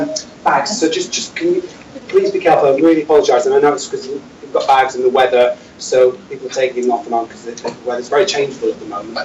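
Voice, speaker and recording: A man's voice: medium pitch, darkish tone, lightly acted. A man playing a nervous safety officer who hesitates and keeps apologising. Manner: timid, hesitant, apologises constantly. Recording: roomy, distant, dull, noisy hall.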